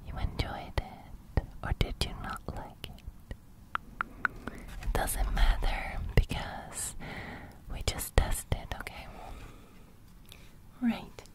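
Young woman whispering slowly, ASMR. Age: young adult. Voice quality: breathy, unvoiced whisper. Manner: slow, gentle, repeating soft phrases. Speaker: ASMR host roleplaying a sleep fairy. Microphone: close studio microphone.

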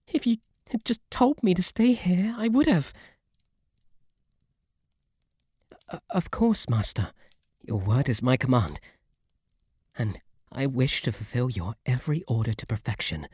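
A man with a British accent playing a shy, devoted, submissive bunny butler in an intimate ASMR roleplay, softly spoken with a formal, deferential, faltering manner. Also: medium pitch, very animated, normal speed, breathy edge, no room sound, clean studio sound. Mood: flustered, eager. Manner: flustered, deferential, formal, stammering. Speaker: roleplay audio performer. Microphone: close, dry microphone.

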